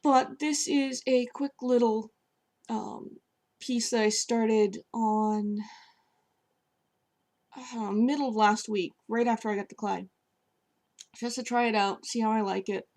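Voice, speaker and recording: A woman with a hoarse, scratchy voice, chatting casually as she shows how she sculpts at home. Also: a little high, fairly even intonation, slow pace, long pauses, darkish tone. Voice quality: gravelly, scratchy. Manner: chatty, with fillers while working with her hands. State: hoarse, voice partly lost. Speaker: sculptor reviewing clay. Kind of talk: casual demonstration. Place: home, at a table by a sofa.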